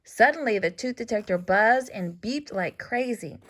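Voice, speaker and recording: A woman reading a children's story aloud as scripted narration, with expressive, deliberate phrasing for young listeners. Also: a little high. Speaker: storybook reader.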